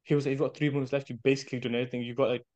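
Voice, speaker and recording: A British man chatting casually as a podcast guest, telling animated anecdotes and acting out bits of dialogue in a chatty way. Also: medium pitch, very fast, lo-fi sound. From United Kingdom. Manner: chatty, animated storytelling with self-quoted dialogue.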